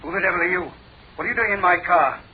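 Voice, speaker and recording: A cornered British crook performed by a man blusters in a high voice, indignant and nervous, tripping over his words. It is classic radio drama, muffled and clearly noisy. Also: fast talker, natural voice. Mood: startled indignation. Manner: blustering, stammering under pressure. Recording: dull old radio recording with clear noise.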